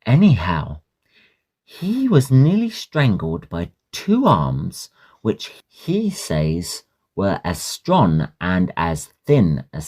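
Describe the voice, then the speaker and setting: A man's voice: fairly low pitch, darkish tone, full character voice. A man playing a chatty young Victorian Oxford student in an audio drama, jaunty and full of old-fashioned slang.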